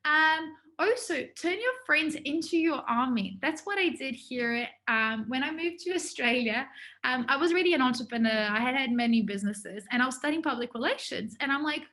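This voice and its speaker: A woman's voice: high voice, animated, normal speed, bright, forceful tone. A woman giving an informal business workshop to students, talking in a conversational, enthusiastic way.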